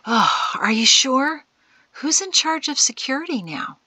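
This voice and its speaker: A woman's voice: sits high, sing-song, a little slow, sharp tone, dry recording, voice pushed up, exaggerated voice. A woman voicing a bitter, hot-tempered young man in chains, agitated and sarcastic, as in an audiobook. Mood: urgent, sardonic. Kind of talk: audiobook character acting.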